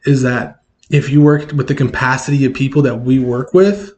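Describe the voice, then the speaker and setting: A man with a loud, carrying voice, speaking candidly as a guest in a casual interview and often ending thoughts with "right?". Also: mid-range voice, little pitch movement, moderate pace. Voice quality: loud voice that carries. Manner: tags sentences with "right?"; candid, repeats and restarts words. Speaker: social worker guest. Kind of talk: casual conversation.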